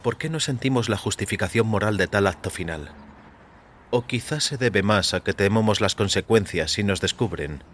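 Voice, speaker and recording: A man reading scripted first-person fiction as a narrator, in a measured, literary, sombre manner. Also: fairly low pitch, a bit bright, slight background noise. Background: faint music.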